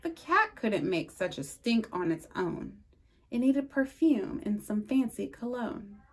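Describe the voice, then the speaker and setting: A woman reading a rhyming picture book aloud for storytime, with a lively sing-song delivery and slow, emphatic pauses. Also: medium pitch. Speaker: storytime reader.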